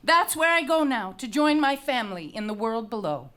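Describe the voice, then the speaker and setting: Young condemned princess, high voice, proud and anguished, declaiming Greek tragedy on stage. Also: female voice, sing-song, moderate pace, sharp tone. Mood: mournful, despairing. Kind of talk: dramatic reading of Greek tragedy.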